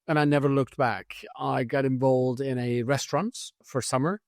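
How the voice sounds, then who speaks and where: A man in his fifties who grew up in Turkey talks as a guest on a hospitality podcast. His voice sits at a middle pitch and sounds dry and close, with almost no room sound. He tells his story with energy and acts out the lines of the people he quotes. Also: steady tone, normal speed, gravelly, a little mellow, dry recording. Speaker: head concierge, guest.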